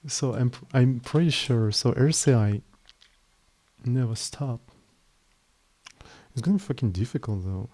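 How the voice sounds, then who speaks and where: A young man with a Slavic accent, live-streaming his programming and thinking aloud in a tired, halting way. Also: mid-range voice, animated, warm tone. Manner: halting, thinking aloud, trailing off mid-sentence. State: mentally tired. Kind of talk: casual live coding stream.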